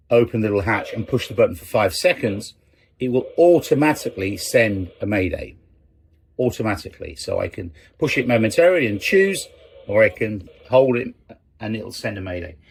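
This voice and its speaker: A man's voice: fairly low pitch, slightly rough. A middle-aged man giving an informal, explanatory lesson as a sailing instructor, conversational and stressing key terms.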